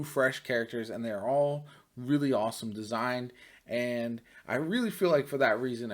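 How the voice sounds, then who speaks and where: A man giving casual, chatty gaming commentary, repeating words for emphasis. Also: mid-range voice. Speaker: gaming YouTuber. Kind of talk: casual reaction and commentary to camera.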